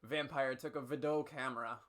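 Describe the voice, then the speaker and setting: A man's voice: medium pitch, fairly even intonation, fairly quick, a bit bright. A man doing a comedic dramatic reading with casual commentary, mock-serious and tripping over words. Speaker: streamer hosting a dramatic reading.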